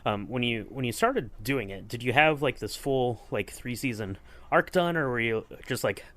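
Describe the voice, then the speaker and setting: A man's voice: mid-range voice, expressive, normal speed, a bit bright. A man hosting a podcast in casual chat, asking questions in a rambling, hesitant way. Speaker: podcast host.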